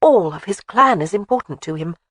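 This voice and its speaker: A woman's voice: medium pitch, lively intonation, a little fast, no room sound, voice pushed up, natural voice. A woman doing a spirited, stubborn young wife. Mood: cheerful.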